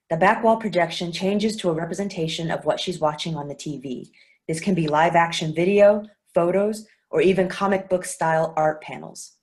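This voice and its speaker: A woman with a fairly low voice reads stage directions in a play reading. Her delivery is even and clear, and she takes her time over each line. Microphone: video call.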